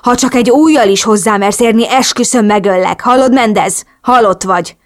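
Casting: passionate, protective storybook lover played by a woman reader, intense and brooding, medium pitch. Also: fairly even intonation, darkish tone, lightly acted. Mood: furious, threatening. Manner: intense, emotional.

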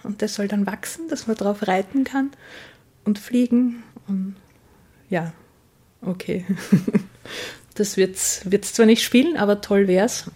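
A middle-aged woman with an Austrian accent giving a casual interview answer, halting as she thinks aloud. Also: medium pitch, mellow, husky.

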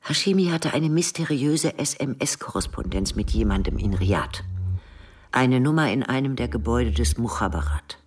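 Guarded spy agent in a radio play, husky half whisper, bit far from the mic. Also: male voice, mid-range voice, animated, normal speed. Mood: confiding, grave. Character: guarded, measured intelligence agent. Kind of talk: scripted radio drama dialogue.

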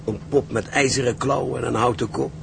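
A man acting a gruff, seasoned seaman in a Dutch radio drama, blunt and philosophical. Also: medium pitch, fairly even intonation, brisk, noisy background, lightly acted. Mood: grave, encouraging.

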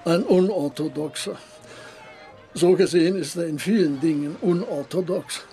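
Man acting courteous older emigrant gentleman, high-pitched voice. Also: moderate pace, soft background music, natural voice. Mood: businesslike. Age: 60s. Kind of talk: radio drama acting.

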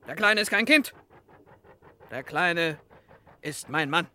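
An actress playing a gruff, vengeful female whaling captain with a brusque, declamatory, poetic manner in a comic radio serial. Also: on the low side, animated, slow pace, bright, forceful tone, heavily acted. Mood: gruff, solemn.

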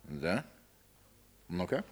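An actor playing a blunt, suspicious husband in a radio drama. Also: male voice, sits low, very animated, very slow, exaggerated voice. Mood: low, suspicious. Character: blunt, suspicious husband questioning his wife.

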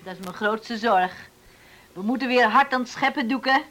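An actress playing a plain-spoken, worried island housewife with a folksy, emphatic way of talking in a radio play. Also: female voice, fairly high pitch, normal speed, crowd noise, natural voice. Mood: worried, grumbling. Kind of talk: radio drama acting.

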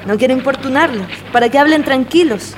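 A woman's voice: high-pitched, fairly quick, long reverb tail, a little hiss or hum, natural voice. A woman acting a playful, teasing young friend in an amateur radio play, with a Chilean accent and a singsong delivery. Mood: gentle.

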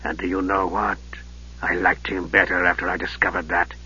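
Guy putting on a low husky whispery old wizard, cold and slow, kinda muffled audio. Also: flat delivery, pushed and bright, full character voice. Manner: slow, measured and cutting. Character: frail sardonic old male archmage. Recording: dull lo-fi recording.

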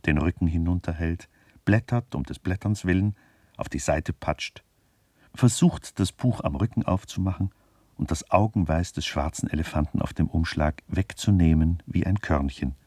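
A man's voice: sits low, normal speed, dark tone, husky, dry recording. A man reading literary scripted narration for a radio play.

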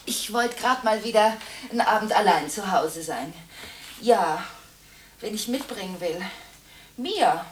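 A woman's voice: mid-range voice, lively intonation, unhurried, long pauses, a little hiss or hum, natural voice. An actress playing an agitated, contradictory woman in a German radio drama, talking impulsively through one side of nonstop phone calls. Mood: hesitant, evasive. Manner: breathless, impulsive, swinging between protest and hushed brooding.

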